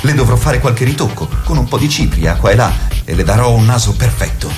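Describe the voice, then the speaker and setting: A man playing an obsessive, perfectionist beautician, speaking in a measured, intense theatrical way. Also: medium pitch, lively intonation, music in the background, natural voice. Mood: precise, persuasive. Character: obsessive male beautician, precise, adoring, perfectionist. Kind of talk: theatrical literary dialogue.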